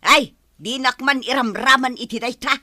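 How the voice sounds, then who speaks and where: An actress playing a snappish, complaining wife with an Ilocano accent. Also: female voice, high voice, moderate pace, bright, forceful tone, no room sound. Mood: irritated.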